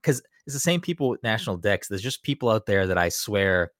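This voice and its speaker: A man chatting on a podcast, rambling eagerly with lots of fillers and quick laughs. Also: fairly low pitch, sing-song, fairly bright tone, dry recording, studio quality. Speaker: podcast co-host. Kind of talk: casual chat.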